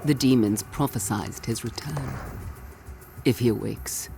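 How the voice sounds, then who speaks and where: Intense fanatical scientist, music behind. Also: female voice, very deep voice, measured pace, vocal fry, full character voice. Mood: grave warning. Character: cold, fanatical woman scientist serving demons. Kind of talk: dramatic video game acting.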